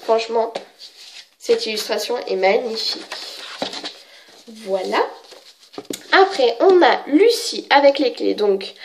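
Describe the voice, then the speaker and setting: A woman's voice: a little high, lively intonation, takes it slowly, long pauses, slight background noise. A woman with a cold chatting casually as she shows off her manga books, hesitant and full of fillers and self-corrections. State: has a cold. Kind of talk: casual show-and-tell.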